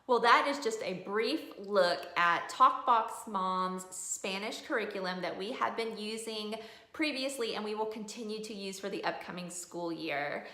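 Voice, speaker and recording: A woman's voice: medium pitch, measured pace, bright, forceful tone. A middle-aged woman giving a casual, explanatory video review as a homeschooling mom. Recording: roomy indoor echo.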